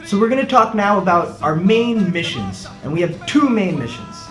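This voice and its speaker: A man, a startup co-founder giving an earnest pitch with rhetorical questions. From United States. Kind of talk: scripted pitch video. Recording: echoey room, faint music behind.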